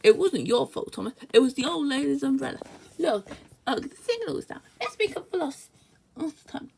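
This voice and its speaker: A young-sounding reader voicing a forgiving, brisk male train guard from a storybook, reassuring in manner. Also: high voice, big pitch swings, vocal fry, a little hiss or hum, exaggerated voice.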